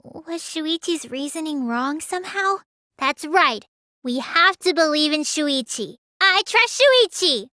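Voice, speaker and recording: A woman's voice: sits high, expressive, takes it slowly, bright, forceful tone, no room sound, clean studio sound, exaggerated voice. A woman voicing a small, childlike teenage girl mage in an anime video game, earnest and pouty, stammering when flustered. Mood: earnest, trusting.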